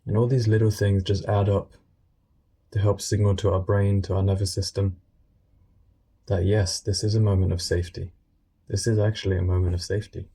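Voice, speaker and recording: Man, meditation guide, husky, very even intonation, soothing, unscripted, short phrases with pauses. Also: on the low side. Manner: soothing, informal, pausing between short phrases.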